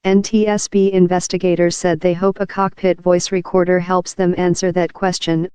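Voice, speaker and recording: A woman reading scripted news narration in a flat, impersonal manner. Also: fairly low pitch, smooth, dry recording. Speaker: news reader.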